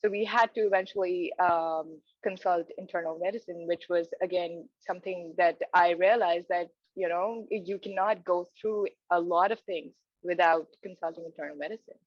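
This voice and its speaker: A woman's voice: on the low side, fairly even intonation, moderate pace, few pauses, dry recording, highs cut off. A young woman from Pakistan, a residency applicant, answering mock interview questions earnestly with frequent hesitant fillers and restarts over a video call.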